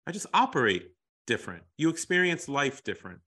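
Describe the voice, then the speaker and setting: Bright, energetic American man. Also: mid-range voice, fairly quick, husky. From United States. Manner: energetic, emphatic, calls people brother. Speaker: guest. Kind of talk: podcast interview.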